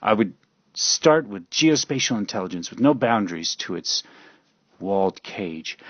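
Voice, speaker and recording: A man's voice: medium pitch, husky, no echo, lo-fi sound. A man narrating a first-person radio story in a theatrical, brooding manner. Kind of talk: dramatic first-person fan fiction narration.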